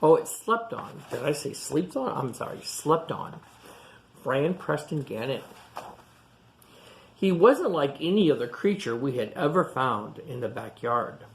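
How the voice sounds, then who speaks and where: A man reading a picture book aloud to young children, pausing for simple explanations and questions. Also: mid-range voice, sing-song, vocal fry. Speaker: storytime reader for young children. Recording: echoey room sound.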